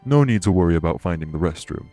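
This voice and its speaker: A man playing a courteous, dour immortal king in an audio roleplay, speaking in a formal, measured way. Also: low voice, expressive, dark tone, soft background music. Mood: courteous, reassuring. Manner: formal, measured, gravely polite. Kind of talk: immersive audio roleplay acting addressed to listener.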